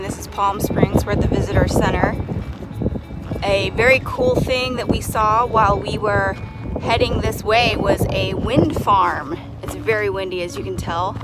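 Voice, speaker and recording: A woman's voice: medium pitch, low-quality recording. A woman in her thirties from Texas, chatting casually to camera on a family road-trip vlog, filmed on a phone held at arm's length outdoors. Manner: chatty, playful mock news-reporter asides. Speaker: family travel vlogger. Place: outdoors in windy desert and lookouts.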